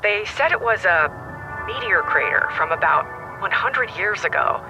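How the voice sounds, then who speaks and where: A woman playing a dramatic leading-lady actress, telling her story earnestly and haltingly in a TV interview. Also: mid-range voice, lively intonation, muffled recording, music in the background, lightly acted. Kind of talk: TV talk show interview acting in audio drama.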